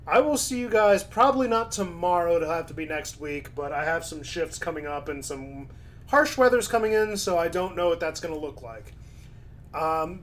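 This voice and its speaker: A man's voice: on the high side, a little hiss or hum. A man streaming casually, reading chat aloud and commenting in a laid-back way, his voice starting to go.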